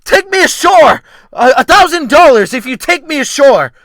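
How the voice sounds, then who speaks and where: A man performing a desperate, frightened gentleman castaway shouting and pleading for rescue in an audiobook. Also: extremely high pitch, sing-song, normal speed, no room sound, voice pushed up, heavily acted. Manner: shouting and pleading at the top of his lungs.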